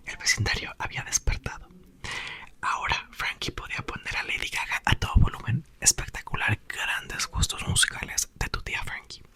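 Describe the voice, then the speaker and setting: A young man softly whispering a book aloud for ASMR, with playful chatty asides. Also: normal speed. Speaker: ASMR host reading aloud.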